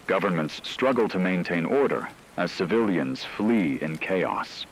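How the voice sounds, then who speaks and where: Grave radio war newsreader, male performer, low-pitched, solemn and measured, over rain and rumbling background noise. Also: low-quality recording. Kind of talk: scripted breaking news bulletin.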